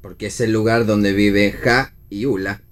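A man's voice: lowish voice, fairly even intonation, unhurried, close to an everyday voice. An Argentine man playing a wry, sardonic young husband in a radio play reading. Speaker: actor in a read-theatre radio play.

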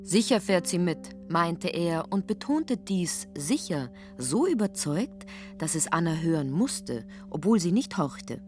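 A woman's voice: lowish voice, sing-song, measured pace. A woman narrating a literary radio play, telling the story in a wry, matter-of-fact way. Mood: wry narration. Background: guitar music under the voice.

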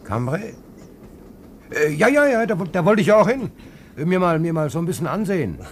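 Stammering, lively fare dodger. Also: male voice, sits high, fairly quick, a little hiss or hum. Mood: flustered, evasive. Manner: stammering, repetitive, ingratiating. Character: flustered, evasive male fare dodger on a train. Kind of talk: German radio play acting.

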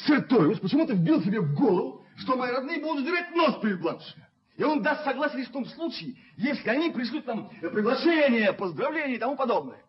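An actor playing an eager, impulsive young man in love in a radio play, boyish, hurried and hesitant. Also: very high-pitched, big pitch swings. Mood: eager, pleading. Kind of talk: Soviet radio play acting. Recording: dull, hissy 1970s radio recording.

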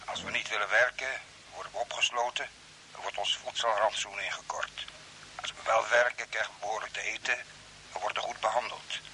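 A man acting in a radio play as a mysterious, calmly insistent Earthman stranded on Mars, speaking in a measured, formal way. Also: on the low side, poor audio. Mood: matter-of-fact. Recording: hissy old radio broadcast, faint noise.

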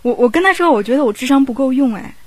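A woman's voice: sits high, lively intonation, fast talker, no echo. A woman in casual conversation, talking informally as a crew member on a panel. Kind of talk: casual chat.

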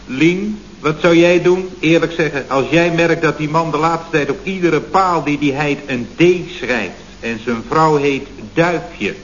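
A man's voice: fairly high pitch, a little slow, few pauses, lightly acted. An actor playing a formal, smugly deferential underling in a radio comedy, speaking to his boss in a stilted, pompous way. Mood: self-justifying. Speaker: employee. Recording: dull, hissy old radio recording.

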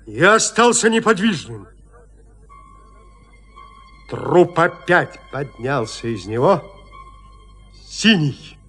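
A man narrating a classic horror tale in an audio play, with theatrical, expressive delivery. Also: a little high, breathy edge.

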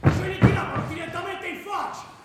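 An actor playing a partisan football ultra, recalling clashes in colloquial, dramatic radio-drama storytelling. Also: male voice, very high-pitched, steady tone, a little fast. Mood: insistent. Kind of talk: dramatized radio narration in a choral ensemble. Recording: distant, very echoey, noisy.

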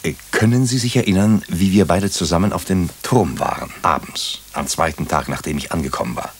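An actor playing a wry doctor and amateur sleuth who also narrates a German radio drama, with a husky, gravelly voice and a dryly humorous manner. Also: male voice, deep voice, a little fast. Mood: hesitant, confiding.

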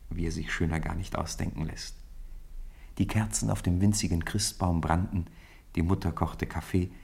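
A man narrating a radio play as a gentle, measured storyteller, with a gravelly, husky voice. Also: deep voice. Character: measured, gentle male storyteller of a Christmas tale.

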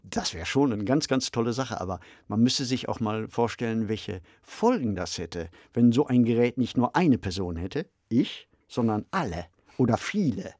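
A male podcast co-host with a mid-pitched voice, recorded very dry in a studio. He talks conversationally, musing through ideas and leaning on repeated words for emphasis. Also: lively intonation, moderate pace, a little mellow, no room sound.

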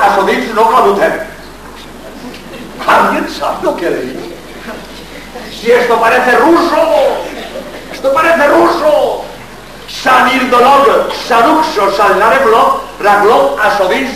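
An older man, a Jesuit priest from Spain, gives a lively public lecture full of jokes and rhetorical questions. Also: very high-pitched, a little hiss or hum. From Spain, born in Barcelona. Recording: very echoey hall, mic far off.

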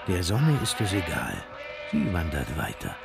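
A man narrating a radio play in a slow, expressive storytelling manner. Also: sits low, vocal fry, loud music behind the voice. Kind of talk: scripted narration.

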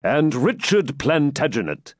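A man's voice: on the high side, very animated, unhurried, dry recording, studio quality, voice pushed up. A man voicing a proud, hearty warrior king in a dramatic storybook reading, with grand, commanding, archaic speech.

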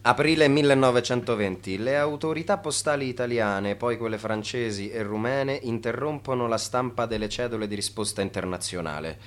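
A formal chronicler in a radio drama, played by a man. He has a medium-pitched voice and declaims in short, list-like announcements. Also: natural voice. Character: declamatory male chronicler listing investors and sums.

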